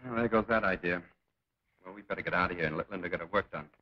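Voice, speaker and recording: Fast-talking breezy young playboy hero. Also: male voice, deep voice. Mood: breezy, casual. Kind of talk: 1940s film serial acting. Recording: noisy, dull 1940s serial soundtrack.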